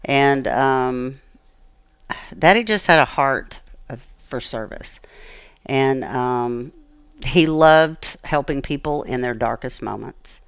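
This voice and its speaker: A woman's voice: extremely low pitch, slow, clear, resonant tone, no room sound, studio quality. An American woman telling personal stories as an interview guest, earnest and emphatic, repeating phrases for emphasis. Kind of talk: personal storytelling in conversation.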